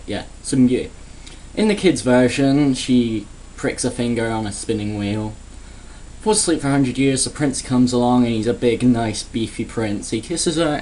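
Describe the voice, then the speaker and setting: A man's voice: medium pitch, a little mellow, slight background noise, poor audio. A man giving a sarcastic, crude, rambling comedic rant in a casual vlog. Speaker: vlogger.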